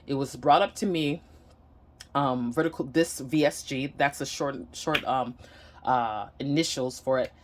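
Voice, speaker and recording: A woman's voice: very deep voice, measured pace, noisy background. A woman with a Nigerian accent giving a casual, candid personal update to camera at home, earnest and a bit hesitant. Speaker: vlogger. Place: home room.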